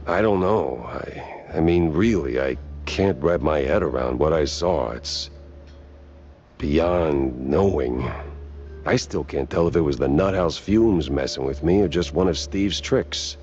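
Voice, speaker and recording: An actor playing a world-weary, wisecracking cartoon private detective with a wry, laconic delivery. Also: male voice, a bass voice, unhurried, a little mellow, lo-fi sound. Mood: wry, uneasy. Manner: wry, laconic, hesitant drawl. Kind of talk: 1930s hardboiled detective game acting.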